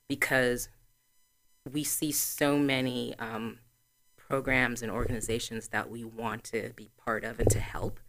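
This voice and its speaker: A woman in her forties from Trinidad, a guest answering interview questions in a measured, explanatory way. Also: very deep voice, little pitch movement, a bit bright. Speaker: guest, sorority chapter president.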